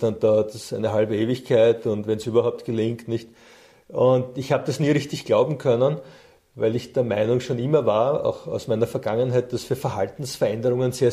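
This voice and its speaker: A middle-aged man speaks as an interview guest with a mellow, relaxed tone. He is a coach and trainer who tells personal stories in a conversational way, at an average male pitch.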